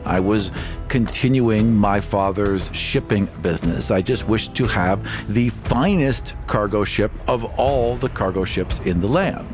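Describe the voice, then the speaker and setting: A man's voice: on the low side, unhurried, music in the background, lightly acted. A man playing an aggrieved, proud merchant ship captain in a tabletop game, speaking earnestly and dramatically. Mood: wounded pride. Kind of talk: improvised tabletop roleplay.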